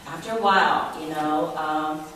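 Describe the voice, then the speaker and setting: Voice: medium pitch, a little slow, a bit bright, slight background noise. A middle-aged speaker from Taiwan, a native Mandarin speaker with a Taiwanese accent, giving a personal testimony talk with many fillers and restarts. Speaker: presenter. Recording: echoey room, distant mic.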